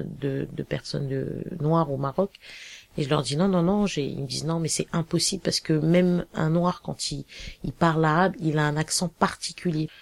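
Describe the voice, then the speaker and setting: A woman from Cameroon giving a casual personal testimony, halting, with many fillers and restarts. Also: low voice, gravelly, dry recording. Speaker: interviewee.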